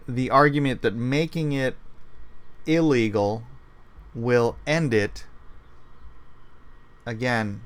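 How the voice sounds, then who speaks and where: A man's voice: mid-range voice, a bit bright, clear, resonant tone. A man hosting a commentary show, earnestly arguing his view step by step, repeating key points and reading out viewer comments.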